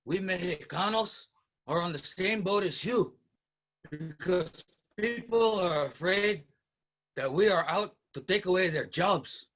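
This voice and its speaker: Migrant farm worker and labor ally played by a man, high male voice, earnest and declarative stage reading. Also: a little slow, fairly bright tone, poor audio. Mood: earnest, resolute.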